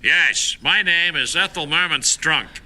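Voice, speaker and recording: A man's voice: on the high side, unhurried, close to an everyday voice. A man playing an earnest, ordinary caller to a radio show in a comedy sketch, matter-of-fact, on a very noisy old recording. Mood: earnest, deadpan.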